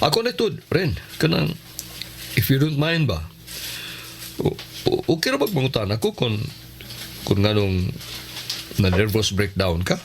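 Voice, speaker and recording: An actor playing a kind, joking man who consoles a friend with hesitant, stammering warmth in a radio drama. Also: medium pitch, sing-song, a little slow, pauses often, a little hiss or hum. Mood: hesitant, sympathetic.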